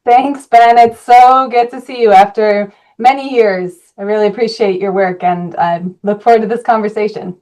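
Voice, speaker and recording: Woman from Colorado, earnest activist guest, mid pitch, thoughtful pauses. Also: steady tone, darkish tone, rough recording. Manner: earnest, with frequent "uh" fillers. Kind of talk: interview answers.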